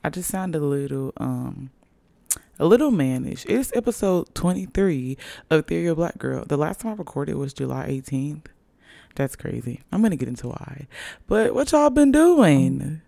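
Raspy hoarse young woman rambling on her podcast, playful, bit of vocal fry, close dry mic. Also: deep voice, very animated, normal speed, mellow, dry recording. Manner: chatty, playful, hyping herself up. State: hoarse after a long night. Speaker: podcast host. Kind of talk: casual solo podcast chat. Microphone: podcast microphone.

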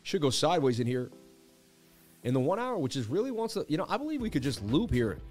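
Hyped male livestream host, hoarse voice. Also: on the high side, very animated, quick pace, a little mellow, soft background music. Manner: hyped, boastful, laughing banter with chat. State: losing his voice. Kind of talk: casual livestream market commentary.

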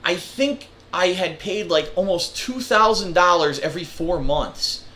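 A man with a congested, nasal voice delivers a blunt, profanity-laced rant. His voice sits high and has a sharp, bright edge. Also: a little slow. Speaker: vlogger. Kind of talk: ranting monologue clip.

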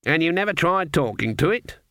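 A man's voice: on the high side, very animated, fairly quick, bright, forceful tone, no room sound, clean studio sound, voice pushed up. A man doing a sly old witch woman. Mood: mock-innocent. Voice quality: sing-song, falsely innocent, folksy dialect. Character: shrewd old woman witch, sweetly menacing.

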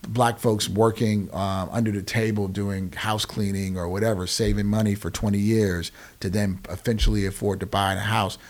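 A man's voice: lowish voice, little pitch movement, normal speed, hardly any pauses. An American man in his forties explaining things conversationally as an interview guest, emphatically and often checking that the listener follows. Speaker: podcast guest, nonprofit founder.

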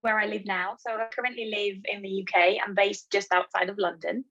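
Woman, 30s, from Bulgaria, interview guest, friendly, thoughtful, filler-heavy pauses, middle pitch, slightly muffled audio. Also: flat delivery, gravelly, fairly bright tone. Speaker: guest, cloud solution architect. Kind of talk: casual interview answers.

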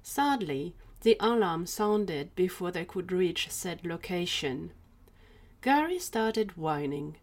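A woman's voice: fairly low pitch, expressive, slow. A woman narrating a scripted fiction story as the narrator of an audio drama.

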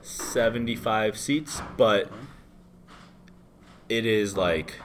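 A man's voice: fairly low pitch, very slow, a little hiss or hum. A hungover American man in his thirties, a bartender telling loose, rambling stories as an interview guest. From United States. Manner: rambling, loose and animated storytelling. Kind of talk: casual interview chat.

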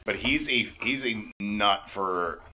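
A male podcast co-host with a fairly low pitch and a very bright, forceful tone. He chats casually in an animated way, often interjecting. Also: slow, a little hiss or hum, rough recording. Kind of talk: casual chat.

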